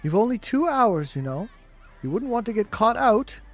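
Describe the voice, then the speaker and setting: A brisk, watchful schoolteacher acted by a man in an audiobook story. The voice sits well raised in pitch and projects as it instructs and cautions. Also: very animated, exaggerated voice. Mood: cautioning. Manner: calling out, instructing.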